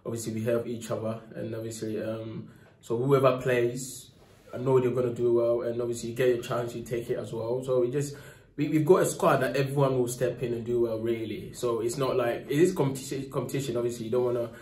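A young man, a footballer, speaks softly after a match, filling his answers with fillers and "obviously", into a phone held close in a press room. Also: medium pitch, level tone, brisk. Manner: soft-spoken, many fillers, leaning on "obviously". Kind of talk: post-match interview. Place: indoor press room in front of a sponsor board. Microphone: phone held near by the reporter. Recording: echoey room.

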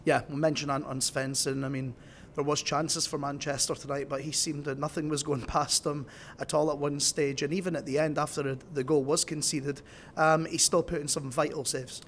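A man's voice: fairly high pitch, flat delivery, moderate pace, fairly bright tone, a little hiss or hum. A man interviewing a coach after a game for club TV, asking conversational, leading questions.

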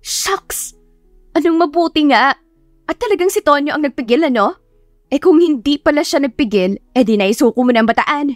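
A woman's voice: high-pitched, expressive, normal speed, dry recording, studio quality, faint music behind, voice pushed up. A woman voicing a flustered, embarrassed young female teacher who scolds herself dramatically in a romance audiobook. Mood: incredulous, flustered. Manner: exclamatory, self-scolding, exasperated.

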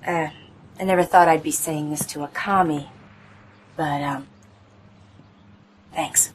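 A woman's voice: low voice, slow, noisy background. An actress playing a tough, cocky female commando in a video game, blunt and brash. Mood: grudging. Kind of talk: video game cutscene acting.

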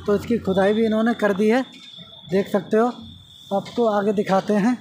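A man's voice: sits high, fairly even intonation, normal speed, darkish tone, a little hiss or hum. A man vlogging from a construction site, chatting casually to his viewers as friends. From India. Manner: conversational, addressing viewers as friends. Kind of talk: casual walkthrough narration.

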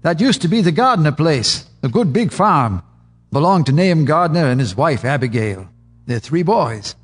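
An actor playing an old rural farmer, a wary, gruff storyteller with a raspy, hesitant drawl. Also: male voice, fairly high pitch, sing-song, moderate pace. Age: older. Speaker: witness telling the story. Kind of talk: radio drama acting.

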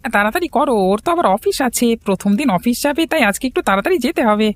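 A woman's voice: high voice, animated, rapid-fire. A woman voicing a fussy, caring older brother, a fretful street seller in a cartoon. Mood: impatient. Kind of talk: cartoon moral-story dialogue.

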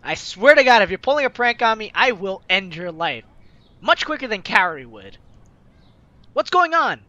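An animated gaming host with a bright voice and a wide, swooping pitch. They read a visual novel aloud and giggle and exclaim between lines. The sound is slightly dull, with game music behind. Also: moderate pace, dry recording. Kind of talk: let's play commentary, reading visual novel lines aloud.

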